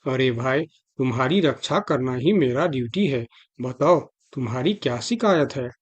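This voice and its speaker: A man's voice: medium pitch, expressive, normal speed, breathy edge, lo-fi sound. Man voicing a stern elephant jungle guard. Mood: concerned. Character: dutiful, suspicious male elephant guard of the jungle. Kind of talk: Hindi children's cartoon dialogue.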